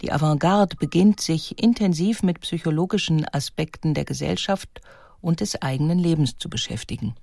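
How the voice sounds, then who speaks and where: A woman's voice: low voice, moderate pace, vocal fry, mellow, no room sound, studio quality. A woman reading scripted documentary narration in an articulate, composed manner.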